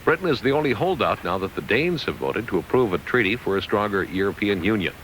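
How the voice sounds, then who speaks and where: A man's voice: on the low side, very animated, fast, fairly bright tone, a little hiss or hum, rough recording. An American man anchoring radio news, reading scripted headlines and weather.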